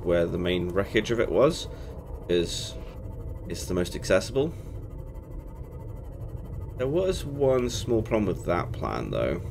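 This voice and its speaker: A young British man hosting a casual game playthrough, chatty and rambling, a bit congested from a cold. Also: sits low. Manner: chatty, rambling, self-correcting. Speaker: gaming channel host. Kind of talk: casual let's play commentary. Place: home gaming setup, indoors. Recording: game music playing under voice.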